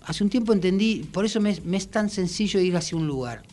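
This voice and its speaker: A man with a Rioplatense Argentine accent talking casually and reflectively in a radio interview, with halting, colloquial phrasing. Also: sits high, lively intonation, very noisy. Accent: Rioplatense Argentine Spanish. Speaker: musician and songwriter guest.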